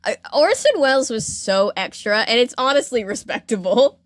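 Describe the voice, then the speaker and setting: A woman podcast host telling a story in a casual, animated and jokey way. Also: fairly high pitch, normal speed, dry recording, studio quality. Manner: animated, jokey, acts out quotes.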